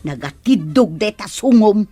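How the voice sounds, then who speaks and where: A woman's voice: mid-range voice, lively intonation, unhurried, mellow, husky, faint music behind. A woman with an Ilocano accent playing a nosy, sympathetic village mother in a radio drama, questioning and coaxing. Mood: concerned.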